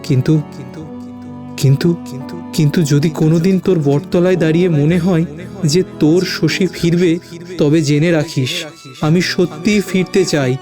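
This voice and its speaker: Male actor as heartbroken young village lover, higher-set voice, earnest pleading delivery over prominent music. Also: fairly even intonation, measured pace, pauses often, natural voice. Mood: tender, sorrowful. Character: anguished young village lover reading his farewell letter. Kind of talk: audio story acting.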